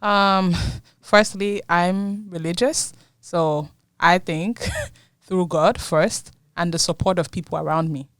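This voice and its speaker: A woman's voice: lowish voice, steady tone, slow pace, no room sound, clean studio sound. A young woman in her twenties from Nigeria, speaking Yoruba natively, talking as a guest in a casual interview and laughing often between phrases. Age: 20s. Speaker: guest, university student.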